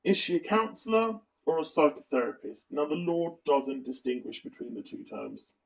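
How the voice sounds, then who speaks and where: A man's voice: on the high side, very animated, moderate pace, gravelly, far from the mic, rough recording. A man giving critical, explanatory commentary as a video commentator.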